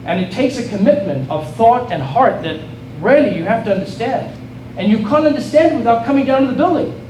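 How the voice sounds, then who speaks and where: South African man, middle-aged, high-pitched, earnest political speech, distant and echoey, noisy hall. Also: expressive, fairly quick, darkish tone. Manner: earnest, rallying, repeats and restarts phrases. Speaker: state legislator giving a speech. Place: event hall with an audience.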